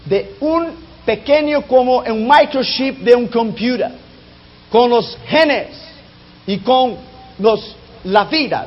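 A man preaching a sermon whose first language is not Spanish, emphatic and dropping low and soft on key lines. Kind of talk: sermon on prophecy. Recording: dull lo-fi recording.

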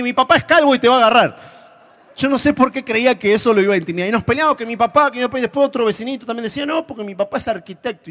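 A man from Argentina with an Argentine accent, preaching a sermon to a congregation and telling humorous stories with lively, animated delivery. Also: sits high. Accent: Argentine Spanish. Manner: humorous, animated storytelling, shifts to whispers. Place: church before a live congregation.

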